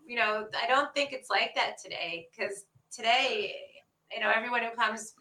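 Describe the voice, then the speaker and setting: A chatty woman from Canada talks over a video call, her voice a bit high and bright. She speaks from a bomb shelter, and the room gives it a clear echo. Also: normal speed. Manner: chatty, laughs often, imitates others' voices. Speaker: author, podcast guest. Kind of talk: casual conversation. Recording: echoey room.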